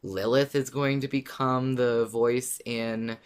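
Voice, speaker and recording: A man's voice: mid-range voice, steady tone, slow pace, smooth. A man co-hosting a podcast in casual fan discussion, playful and often repeating words for emphasis. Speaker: podcast co-host.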